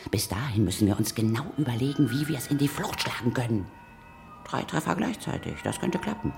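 A woman playing a brisk, fearless, experienced older ghost hunter in a children's radio play, commanding and matter-of-fact. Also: rumbling low, sing-song, vocal fry, music in the background, full character voice. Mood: brisk, businesslike.

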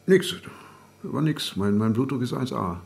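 A man's voice: medium pitch, lively intonation, moderate pace, creaky, darkish tone. An actor playing a flustered, grumbling editor in his late fifties in naturalistic radio drama, with a hesitant, dryly sardonic way of talking. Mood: evasive, dry. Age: 50s. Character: flustered, grumbling 59-year-old male newspaper editor.

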